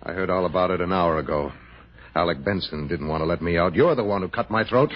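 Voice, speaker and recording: A husky, bitter drunken gunman. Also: sits low, fast. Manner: menacing, sarcastic. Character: bitter, drunken washed-up film actor with a gun. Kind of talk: 1960s radio drama acting. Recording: muffled old radio broadcast.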